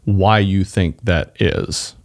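A man's voice: low voice, takes it slowly. A man hosting a podcast interview, thinking aloud and hedging before he asks his guest a question. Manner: thoughtful and hedging, musing before asking. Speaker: podcast host.

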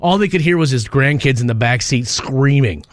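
A man's voice: mid-range voice, animated, normal speed, no echo. A man co-hosting a radio show, telling stories in an informal, conversational way. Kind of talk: casual radio talk, telling a news story.

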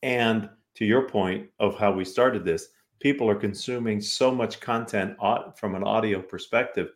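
A man's voice: lowish voice, normal speed. An American man hosting a conversational interview, reflective and inclined to sum up and check for agreement. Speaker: talk show host interviewing guests.

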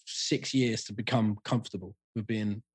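A man's voice: lowish voice, steady tone, moderate pace, heavy background noise. A man who sings in a band, a podcast guest talking candidly in casual chat and swearing freely.

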